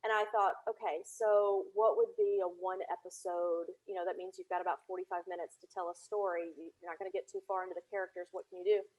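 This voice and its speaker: A woman from Tennessee speaking as an interview guest, telling anecdotes in a chatty, conversational and animated way. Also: lowish voice, fast, few pauses, low-quality recording. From Tennessee, United States. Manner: chatty, animated anecdotes with laughter and reenacted dialogue. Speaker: interview guest, audio drama creator.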